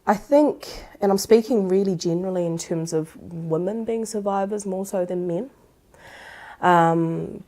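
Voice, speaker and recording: Low, creaky New woman. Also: mellow. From Singapore-born, living in Auckland, New Zealand. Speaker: expert on supporting sexual violence survivors. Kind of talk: reflective explanatory interview talk.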